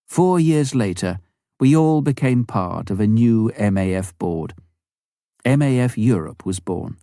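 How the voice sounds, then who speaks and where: A man reading a memoir aloud as an audiobook narrator, in a measured, clearly phrased scripted delivery. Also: on the low side, mellow, dry recording, clean studio sound.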